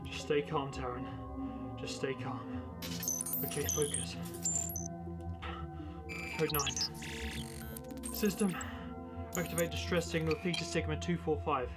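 Halting, shaken male space engineer played by an actor, slow delivery, sci-fi audio drama under loud music. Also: a little high, steady tone. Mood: shaken, weary. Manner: halting, fragmented speech. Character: shaken younger brother, stranded spaceship engineer.

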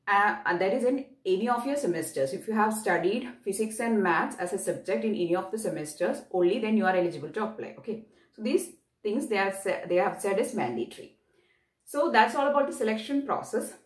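A young woman with an Indian accent gives an instructional explanation to camera, repeating key points and often checking in with "okay". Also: medium pitch. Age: young adult. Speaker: presenter of an exam guidance channel. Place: small bare-walled room indoors. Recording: roomy echo, distant mic.

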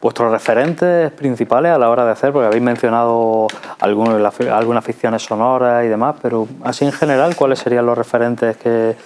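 A man's voice: mid-range voice, fairly even intonation, fairly quick, few pauses, a little mellow, slight background noise. Man from Spain hosting an interview. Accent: Spanish from Spain. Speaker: TV culture show host. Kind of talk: studio interview, conversational questioning. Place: small TV studio. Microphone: lapel mic.

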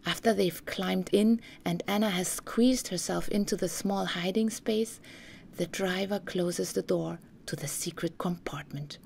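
A woman narrating a scripted story in a hushed, dramatic manner. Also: fairly low pitch, measured pace. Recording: clear background noise and ambience.

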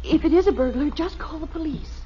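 An actress playing an anxious, insistent middle-aged American wife in a 1950s radio comedy, speaking in an urgent, fretful way. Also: female voice, high voice, a little fast, close to an everyday voice. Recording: muffled, hissy vintage radio broadcast.

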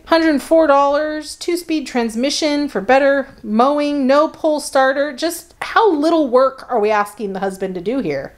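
A woman with a slightly high voice talks casually as the host of a flip-through video. She moves between reading printed copy aloud and adding wry, sardonic asides in a conversational manner. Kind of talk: casual running commentary mixed with reading catalog copy aloud.

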